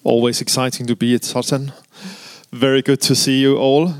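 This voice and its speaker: A man's voice: mid-range voice, little pitch movement, moderate pace, clean tone. A middle-aged man from Denmark with a Danish accent, preaching earnestly with frequent fillers and restarts. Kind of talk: sermon.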